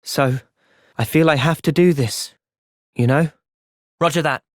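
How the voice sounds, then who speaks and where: A young man playing an earnest, thoughtful young soldier hero in a fantasy video game's cutscenes, with expressive delivery. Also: a little high, unhurried, no room sound, clean studio sound, natural voice. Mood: calm, thoughtful. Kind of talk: video game cutscene acting.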